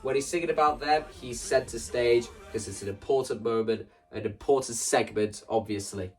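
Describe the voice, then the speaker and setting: A man's voice: mid-range voice, normal speed, a little mellow. Man talking casually, distant from the mic. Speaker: video creator explaining stage play ideas. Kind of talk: casual spoken walkthrough to camera. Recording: voice distant from the mic, music underneath.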